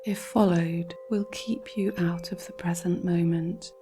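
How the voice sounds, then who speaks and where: A woman reading a scripted guided relaxation in a calm, measured, instructional way, with a soft, breathy voice. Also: on the low side, over music. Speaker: guided meditation narrator.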